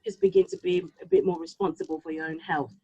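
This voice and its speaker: A woman whose home tongue is Yoruba, chatting casually and reflectively, halting now and then as she thinks aloud. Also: mid-range voice, huge pitch swings, creaky, mellow, slightly rough. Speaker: podcast co-host. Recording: slightly dull, lo-fi sound.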